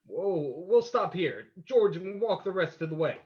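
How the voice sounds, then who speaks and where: A man playing a composed, shrewd amateur sleuth in an old-time radio drama, commanding and deliberate, on a lo-fi recording. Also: sits high, sing-song. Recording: dull lo-fi recording.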